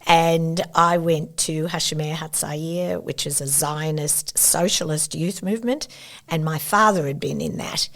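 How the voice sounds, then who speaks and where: Older woman, low voice, gravelly texture, reflective storytelling. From Australia. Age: 70s. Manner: thoughtful, unhurried recollection with warm asides. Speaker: interview guest.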